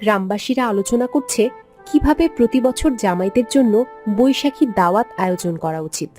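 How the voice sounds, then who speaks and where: A woman's voice: medium pitch, animated, a little fast, darkish tone. A woman reading scripted scene narration for a cartoon story, with music behind her.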